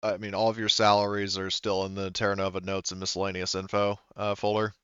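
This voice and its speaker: A man's voice: low voice, monotone, quick pace, a bit bright, smooth, no echo, lo-fi sound. A man running a tabletop game on a voice call, rambling and full of fillers and restarts. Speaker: game master.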